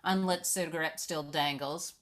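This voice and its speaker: A woman's voice: low-pitched, steady tone, moderate pace, fairly bright tone. A woman narrating the action of a screenplay at a live read, descriptive, occasionally stumbling over the text. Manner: descriptive, reading aloud with stumbles. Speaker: stage-direction narrator.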